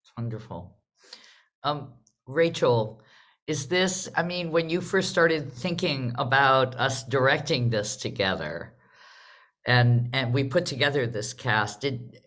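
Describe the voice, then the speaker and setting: Bright-voiced woman hosting a live panel. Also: rumbling low. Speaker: panel host of a theater livestream. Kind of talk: live panel moderating, casual chat.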